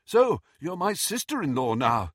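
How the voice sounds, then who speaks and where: Narrator doing a pompous young prig, raised and clipped. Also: male voice, fairly high pitch, big pitch swings, a little slow, husky, no echo, clean studio sound, voice pushed up, exaggerated voice. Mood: contrite. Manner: spluttering, pompous. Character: pompous young man, flustered and remorseful.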